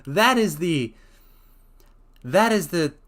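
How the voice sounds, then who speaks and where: A man streaming a dramatic reading of a story, breaking off to chat excitedly, often stammering and restarting his sentences. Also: sits high, takes it slowly.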